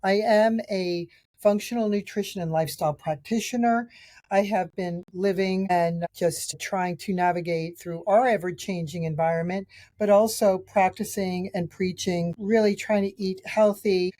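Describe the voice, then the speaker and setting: A middle-aged woman with a raspy voice from a cold, hosting a podcast outdoors in chatty, rambling talk. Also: medium pitch, steady tone, moderate pace, warm tone. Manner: chatty, rambling, with restarts and fillers. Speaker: podcast host and nutrition practitioner. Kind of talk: casual solo podcast talk reviewing products.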